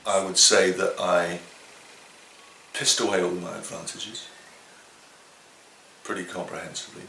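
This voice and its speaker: Gravelly low-voiced man in his fifties. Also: flat delivery, a little slow, sharp tone. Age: 50s. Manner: dry, self-deprecating. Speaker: carpenter. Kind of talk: candid documentary interview. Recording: echoey room with faint noise.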